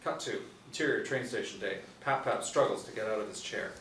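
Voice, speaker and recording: Male narrator for script directions, lowish voice, recorded off-mic in a roomy space. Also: fairly quick, a bit bright. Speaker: narrator reading stage directions. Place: indoor room, seated reading panel. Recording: roomy, distant mic, faint noise.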